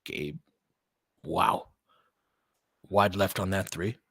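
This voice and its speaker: A man's voice: deep voice, drawn-out words, a bit bright, slightly rough, dry recording, clean studio sound. A man giving casual live basketball play-by-play and fan analysis, talking conversationally and thinking aloud in fragments.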